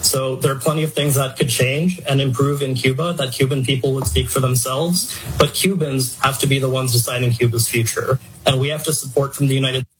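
A man, an organization's co-chair, giving measured, somewhat hesitant answers in a cable news interview. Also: medium pitch, fairly even intonation, slight background noise, poor audio.

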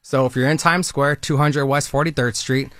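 A man in his thirties talks in a persuasive, friendly way, like a recruiter on a radio interview. His voice sits at a middle pitch. The sound is dry and close with no room echo. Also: fairly even intonation, moderate pace, a bit bright. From Southern California. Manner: upbeat, persuasive, conversational. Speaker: military recruiter guest.

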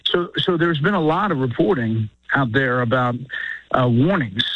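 Man, measured analyst, lots of ums, dry muffled radio sound. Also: mid-range voice, no room sound. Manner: measured, thinking aloud with many fillers. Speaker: guest news anchor. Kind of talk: political analysis on talk radio.

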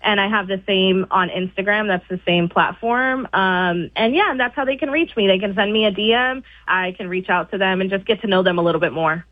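A woman's voice: mid-range voice, a little fast, few pauses, no echo. A woman from Puerto Rico, a promoter, talking on air as a caller in a goofy, upbeat way and laughing often. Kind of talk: on-air radio interview. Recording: dry tinny phone line.

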